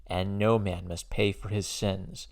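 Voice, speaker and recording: A man giving a dramatic poetry reading with a gravelly voice and measured, declamatory phrasing with emphatic stresses. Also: low voice, flat delivery. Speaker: poetry reader.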